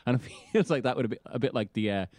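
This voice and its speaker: An Irish man chatting as a football writer on a podcast, animated and laughing often, tumbling through restarts. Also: medium pitch, fast, dry recording. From Ireland. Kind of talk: casual chat.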